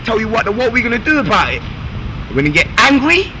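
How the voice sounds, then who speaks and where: Young man, high voice, passionate public address with heavy background noise. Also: sing-song, fast talker. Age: young adult. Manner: rhetorical, repetitive, rising urgency. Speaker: youth speaker giving a speech. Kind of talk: rousing speech to a crowd.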